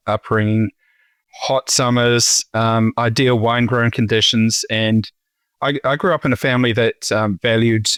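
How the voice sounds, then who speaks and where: A New Zealand man in his forties, a winemaker talking casually in an interview, halting with many fillers and restarts. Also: fairly low pitch, fairly bright tone, smooth, dry recording. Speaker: winemaker guest.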